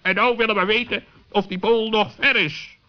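A man's voice: high voice, fairly even intonation, moderate pace, voice pushed up, close to an everyday voice. A man voicing a cheeky, blunt, bickering bird in a children's radio play. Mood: impatient. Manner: blunt, sharp-tongued. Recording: muffled old sixties recording.

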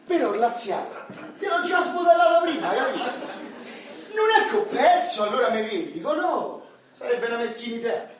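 An actor playing a brash, foul-mouthed Roman football hooligan and petty crook, coarse and boastful in a Roman accent, in a radio drama. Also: male voice, very high-pitched, big pitch swings, moderate pace. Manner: boastful, coarse, shouting outbursts. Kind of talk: stage-style radio drama acting. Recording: distant, very echoey hall with background noise.

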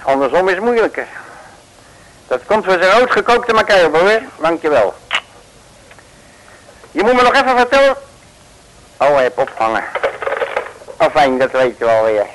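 Casting: folksy detective, old radio play; blustering, jovial, very animated intonation. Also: male voice, a little high, moderate pace, long pauses, slight background noise, rough recording. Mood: breezy. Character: cocky, folksy male detective, slangy and self-assured. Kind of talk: 1960s Dutch radio play acting.

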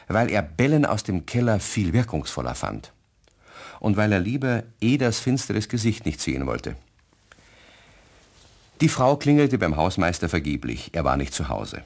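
A man playing the narrator of a children's radio play, telling the story in a measured, expressive way. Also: fairly low pitch. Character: calm male storyteller narrator.

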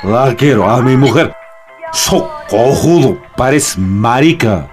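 An actor plays a swaggering Peruvian brute of a husband in a radio drama. His delivery is coarse and hot-tempered, with wildly swinging intonation. Music plays clearly behind him. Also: mid-range voice, lively intonation, slow pace, darkish tone. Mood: scornful, boastful. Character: brutish, boastful, hot-tempered macho young husband.

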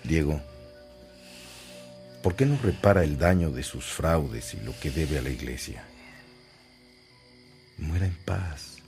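An actor playing a devout, plain-spoken and compassionate priest in a radio drama, with a solemn pastoral manner. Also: male voice, extremely low pitch, lively intonation, slow pace, pauses often, a little mellow, faint music behind, heavily acted. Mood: solemn, forgiving.

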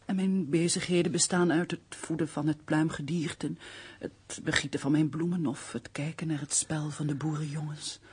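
Very breathy, earnest young housekeeper. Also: female voice, deep voice, steady tone, moderate pace. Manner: gentle, formal, earnest. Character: earnest, refined young housekeeper at country castle. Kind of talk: radio drama acting.

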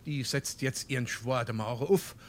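An actor playing a grumbling, sarcastic husband in a Darmstadt Hessian dialect stage comedy, with an exasperated, blustering manner. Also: male voice, medium pitch, moderate pace, lightly acted. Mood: scolding.

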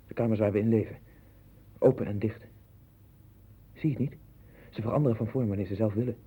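A man's voice: on the low side, fairly even intonation, fairly quick, mellow, slightly rough. An actor playing an anxious, obsessive man who rambles and broods in a 1960s Dutch radio play. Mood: brooding, obsessive. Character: anxious, obsessive man sinking into his own strange world. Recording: tinny, band-limited 1960s radio recording with hiss.

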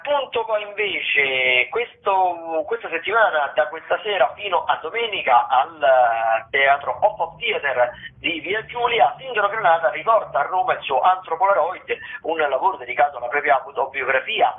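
A man presenting a semi-improvised rundown of listings on the radio, in a halting delivery with drawn-out words. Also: on the high side, animated. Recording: thin phone-like band-limited radio sound.

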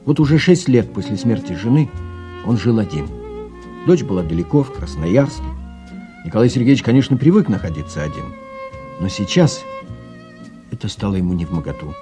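A man narrating an audio drama as a wry storyteller, reading scripted literary prose in a measured way. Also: on the low side, huge pitch swings, music in the background. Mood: wistful, wry.

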